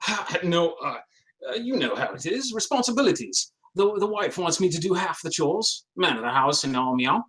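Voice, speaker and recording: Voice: medium pitch, sing-song, moderate pace, fairly bright tone. An actor in a comedic script read voicing a bumbling, henpecked male sphinx cat husband, hesitant, shifty and chummy. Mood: flustered, excusing.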